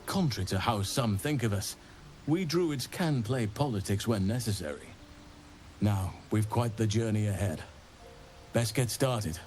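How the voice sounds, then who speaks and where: Casting: learned druid leader for a fantasy game, lowish voice, grave and formal, earnest, weighed down by regret, music underneath. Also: male voice, lively intonation, darkish tone. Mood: measured, resolute. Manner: measured, formal, grave phrasing. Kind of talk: dramatic fantasy video game dialogue.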